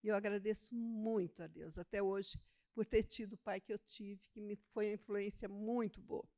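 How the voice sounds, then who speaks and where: An older woman from Brazil's Northeast, a theologian and author, speaking as a guest in a relaxed interview, self-deprecating and quick to laugh. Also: mid-range voice. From Northeast Brazil (Pernambuco). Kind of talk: conversational interview.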